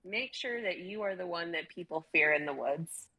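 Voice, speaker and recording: Giggly husky young woman. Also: lowish voice, animated, moderate pace, highs cut off. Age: young adult. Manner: giggly, playful, joking. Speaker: stream co-host and reader. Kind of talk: casual group chat.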